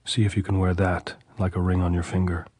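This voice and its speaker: A weary soldier speaks softly and tenderly to his sleeping lover, as a man voices him. His voice is very deep and husky, low and close. The words come measured and calm. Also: no room sound. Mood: quiet tender.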